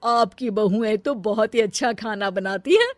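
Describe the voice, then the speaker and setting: A woman voicing a hearty visiting aunt in a cartoon story, loud and effusive with her praise. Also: medium pitch, moderate pace, no room sound, natural voice. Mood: praising. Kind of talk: cartoon voice acting.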